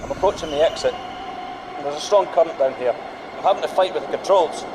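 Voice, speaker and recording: A man's voice: a little high, fast, close to an everyday voice. A man playing a submarine pilot under strain, talking over the radio while steering through a strong current. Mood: tense. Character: male submarine pilot, tense, in danger. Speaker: McGovern. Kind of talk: audio drama acting. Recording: distant voice under loud music and engine hum.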